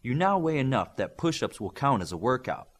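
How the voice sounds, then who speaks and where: A man voicing a stern military-style trainer in an audiobook, giving brisk, clipped orders. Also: medium pitch, very animated. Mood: commanding.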